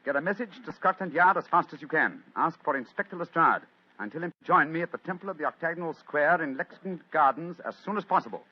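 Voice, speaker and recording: An actor playing a brisk, commanding male detective in an old radio drama. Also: on the high side, expressive, noisy background, natural voice. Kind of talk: 1940s radio acting. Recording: dull old radio recording.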